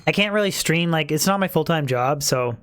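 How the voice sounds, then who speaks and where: A man's voice: a little high, expressive, fairly quick, fairly bright tone, a little hiss or hum. A man streaming a game live, chatting casually with his viewers while he plays; he says he is losing his voice. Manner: chatty, talks to chat viewers, many fillers.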